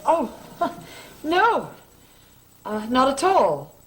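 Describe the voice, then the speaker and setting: A woman's voice: high-pitched, big pitch swings, very slow, heavily acted, low-quality recording. A woman acting in a gothic soap opera, playing a mysterious, solemn reader of ancient lore. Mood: hesitant, guarded. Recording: old TV soundtrack with music.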